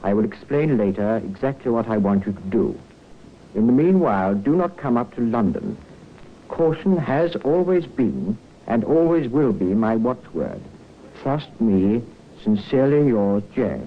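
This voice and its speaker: An actor playing a thoughtful senior policeman with a deliberate manner in an old time radio drama. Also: mid-range voice, animated. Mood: reading aloud. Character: thoughtful, puzzled senior male police official. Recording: tinny noisy old radio recording.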